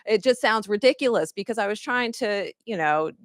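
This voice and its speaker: A woman's voice: medium pitch, animated, moderate pace, no echo, clean studio sound. A middle-aged woman journalist on a conference panel, telling personal stories in a reflective way with many fillers. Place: conference room.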